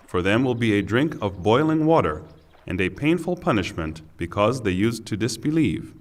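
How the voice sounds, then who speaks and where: A man reading a scripture translation in a solemn, measured and formal scripted delivery. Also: mid-range voice.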